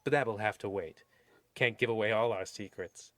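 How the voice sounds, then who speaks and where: A man playing the wry, mock-solemn narrator of a parody old-time radio horror series, theatrical with deliberate pauses. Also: lowish voice, a bit bright, no room sound, lightly acted. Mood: wry sign-off. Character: wry, ominous male host of spooky radio series.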